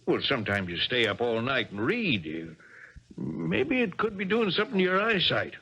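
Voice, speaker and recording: Casting: blustering older dad, lively pitch, bright tense tone, dull old radio sound. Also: male voice, moderate pace, full character voice. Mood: fatherly, pushy. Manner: gruff, pushy, hesitant fillers. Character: gruff blustering father of a tennis star, older man. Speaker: actor in radio drama. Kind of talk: 1970s radio drama acting.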